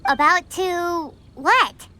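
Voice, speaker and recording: A woman's voice: very high voice, very slow, a crowd in the background, exaggerated voice. A woman voicing a tiny, cheeky floating girl companion in a game, with chirpy, playful quips. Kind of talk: video game dialogue. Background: loud game music.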